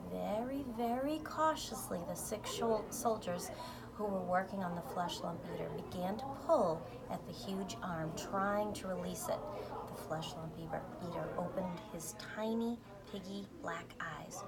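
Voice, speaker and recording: A woman's voice: fairly low pitch, unhurried, over a noisy crowd. A woman reading a children's book aloud, now and then stumbling and restarting over words. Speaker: volunteer story reader.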